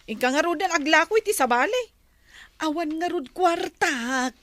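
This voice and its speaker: High-pitched, boastful Ilocano woman actress. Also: lively intonation, moderate pace, a bit bright. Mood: stingy, boastful. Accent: Ilocano, Philippines. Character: boastful, stingy older sister, a fussy schoolteacher. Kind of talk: Ilocano comedy audio drama acting.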